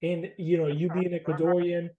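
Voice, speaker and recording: A man's voice: on the high side, little pitch movement, normal speed, phone-quality sound, crowd noise. A young adult man hosting a podcast, asking loose, filler-heavy questions in a friendly, casual way. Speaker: podcast host. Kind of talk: casual interview chat.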